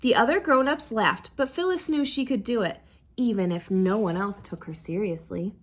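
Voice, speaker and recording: A woman's voice: mid-range voice, moderate pace. A woman reading a picture book aloud to children, expressively, as a teacher who puts questions to listeners. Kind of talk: animated storybook read-aloud with asides to listeners.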